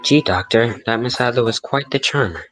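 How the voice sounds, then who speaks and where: A man's voice: medium pitch, normal speed, over music, natural voice. A man playing an eager, folksy announcer in the scripted style of 1940s radio. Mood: eager, chummy.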